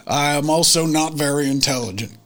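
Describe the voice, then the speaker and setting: A man's voice: on the high side, creaky, fairly bright tone. A man casually talking as a player and host of a tabletop role-playing game. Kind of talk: casual character introduction.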